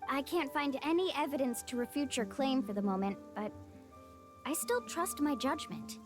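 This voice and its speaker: High-voiced, determined young guide. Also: female voice, expressive, normal speed, soft background music. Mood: firm. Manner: earnest, persuasive. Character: Mualani, young female tour guide, determined, responsible, friendly. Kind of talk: video game voice acting.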